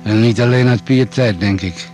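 An actor playing a matter-of-fact doctor who survived a crash, measured and no-nonsense, in a Dutch radio play. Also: male voice, lowish voice, darkish tone, faint music behind, close to an everyday voice. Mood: grave, factual.

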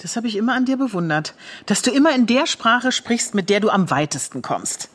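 An actress playing a disillusioned middle-aged wife in a radio drama, reflective yet challenging, with pointed questions. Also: female voice, a little high, lively intonation, fairly quick. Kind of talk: naturalistic German radio drama dialogue.

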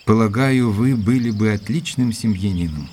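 An actor playing a composed gentleman who narrates a detective story as its loyal observer, in a measured storytelling manner. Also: on the low side, a little hiss or hum, lightly acted. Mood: polite, calm. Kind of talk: dramatised audiobook narration.

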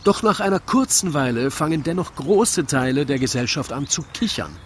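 A man's voice: on the high side, expressive, moderate pace, a little hiss or hum. A man narrating a comic rhymed story in a wry, theatrical storytelling manner. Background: music.

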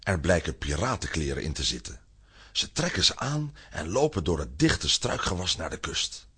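A man narrating a children's adventure story from a script in a husky voice with dramatic delivery. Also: sits low, sing-song, normal speed, pushed and bright.

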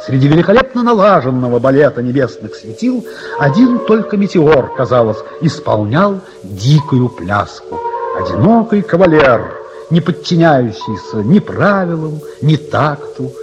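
A man playing a courtly writer who narrates his own tale in a radio play, measured, literary and gently ironic. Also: on the high side, big pitch swings, muffled recording, music in the background. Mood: narrating, ironic.

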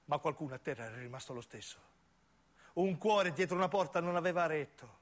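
A man's voice: fairly high pitch, lively intonation, brisk. A male stage actor tells a story. His delivery is intense and solemn, built from short, broken phrases as in a theatrical monologue.

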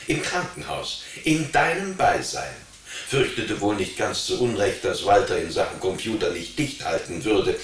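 A man's voice: on the low side, moderate pace, roomy sound, distant mic, natural voice. An actor playing a taunting male police investigator, smug and needling, in a radio drama interrogation. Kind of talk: German radio drama acting.

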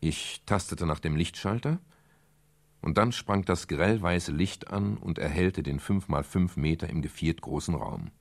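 An actor playing a calm, reflective private detective in a German radio crime drama, speaking in a measured, explanatory way with a gravelly, husky voice. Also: male voice, low-pitched, full character voice. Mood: reflective narrating. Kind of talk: German radio crime drama, dialogue and first-person narration.

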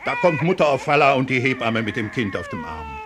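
A calm friendly villager in a radio drama, medium voice, slight background noise. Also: male voice, medium pitch, fairly quick. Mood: calm, dry. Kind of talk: German radio play acting.

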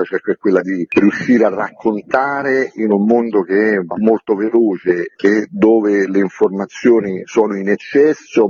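Low, husky man on radio. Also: dark tone, muffled recording. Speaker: author, interview guest. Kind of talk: radio interview, explanatory talk.

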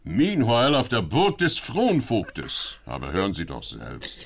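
A man's voice: on the low side, huge pitch swings, a little slow, vocal fry, a crowd in the background, exaggerated voice. A man playing a wry live reporter who hosts a spoof radio play, mixing English into his narration.

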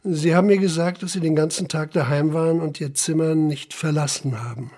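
An actor playing a stern, methodical police investigator in a radio drama, with a husky voice and formal, deliberate delivery. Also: male voice, fairly high pitch, fairly even intonation, a little mellow. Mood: stern questioning. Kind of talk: radio drama interrogation and report narration.

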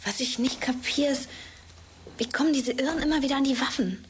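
A woman's voice: sits high, fairly quick, natural voice. A young woman playing a brooding, scornful teenage schoolgirl with pointed, colloquial delivery in a German radio drama. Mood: questioning.

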